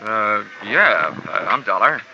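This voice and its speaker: A man's voice: fairly low pitch, animated, slow, sharp tone, a little hiss or hum. A man narrating as a wisecracking insurance investigator in a 1950s radio drama, with a dry hard-boiled delivery. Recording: lo-fi old radio broadcast with music.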